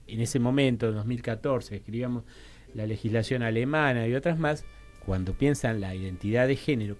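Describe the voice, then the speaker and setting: A man with an Argentine accent giving an academic explanation as a radio guest, halting and didactic, often checking that he is followed. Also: medium pitch, a little mellow. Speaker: interview guest, university teacher and researcher.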